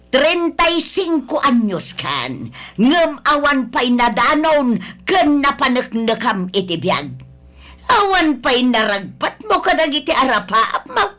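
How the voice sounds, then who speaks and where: A woman's voice: sits high, big pitch swings, slow pace, fairly bright tone, full character voice. A woman playing a nagging, disapproving older mother of a grown son in an Ilocano radio drama, scolding and complaining. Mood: scolding, exasperated.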